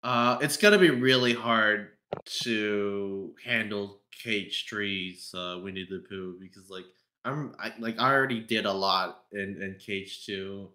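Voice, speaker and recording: A man chatting casually on a podcast, talking in a halting, rambling way and often repeating and restarting phrases. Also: lowish voice, sharp tone. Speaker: podcast panelist and fandub voice actor. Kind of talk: casual chat.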